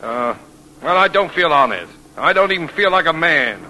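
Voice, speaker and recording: A man's voice: on the high side, animated, moderate pace, natural voice. An actor playing a hesitant, drily joking male job seeker in a 1940s radio comedy, with a sheepish manner. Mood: flustered, droll. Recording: noisy old radio broadcast recording.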